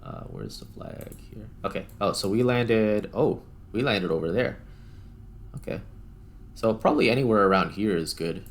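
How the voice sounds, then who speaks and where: A man's voice: fairly low pitch, sing-song, moderate pace. A man giving casual gameplay commentary, thinking aloud in a tentative, self-questioning way as he narrates each step. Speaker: gaming video host.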